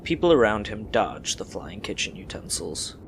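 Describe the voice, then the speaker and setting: A man's voice: fairly low pitch, sing-song, normal speed, gravelly, slight background noise. A man reading scripted fantasy story narration as the narrator of an audio drama.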